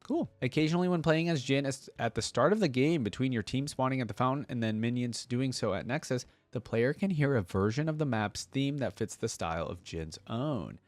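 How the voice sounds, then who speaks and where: Male reaction host, mid-pitched voice, reads aloud with casual, curious commentary, recorded very dry. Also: expressive, fairly quick, few pauses, darkish tone, no room sound, soft background music. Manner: casual, curious asides between read passages. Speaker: host of a game lore reaction series.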